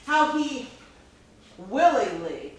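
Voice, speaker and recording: A woman's voice: fairly high pitch, very animated, extremely slow, exaggerated voice. A woman playing a blunt, chatty know-it-all radio performer in a staged reading. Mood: accusing. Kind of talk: stage acting. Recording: very echoey hall, distant mic, faint noise.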